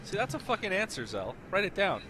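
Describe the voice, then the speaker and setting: A man's voice: sits high, sing-song, fast talker, bright, forceful tone. A man hosting a casual gaming group chat with joking, teasing, sweary banter. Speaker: host of a gaming guild group show.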